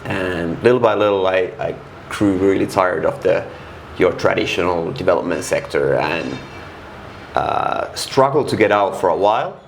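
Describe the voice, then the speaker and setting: Low gravelly-voiced Finnish man. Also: lively intonation, slight background noise. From Finland. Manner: frank, halting, many restarts and fillers. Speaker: guest. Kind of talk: casual interview.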